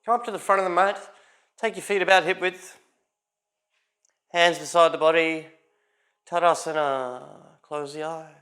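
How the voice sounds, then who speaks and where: A man leading a guided yoga class as instructor, giving short, calm, unhurried spoken cues. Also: fairly high pitch.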